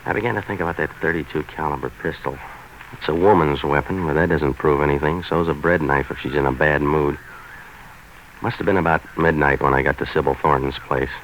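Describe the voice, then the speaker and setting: A low, deep hardboiled man of 1940s radio noir. He is sardonic and clipped, tossing off wisecracks deadpan. Faint noise from the vintage broadcast sits around him. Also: brisk. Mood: brooding narration. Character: hardboiled, sardonic male waterfront troubleshooter and narrator. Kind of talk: 1940s radio noir acting and first-person narration. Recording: noisy 1940s radio recording.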